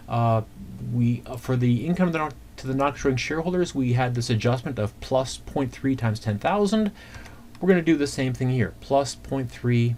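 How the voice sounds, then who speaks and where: A man giving an informal screencast accounting lesson, talking through calculations step by step in a conversational, halting way. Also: medium pitch, darkish tone. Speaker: accounting instructor. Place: indoors at a computer. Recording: clear background noise, keyboard typing.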